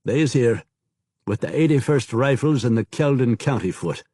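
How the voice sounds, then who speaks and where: A rough, slangy veteran scout, as a male narrator puts him on, pitched well above the reader's own low voice and reporting back with gruff deference. His talk is coarse and plain, full of dropped words and rank titles. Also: animated, moderate pace, dark tone, dry recording, studio quality.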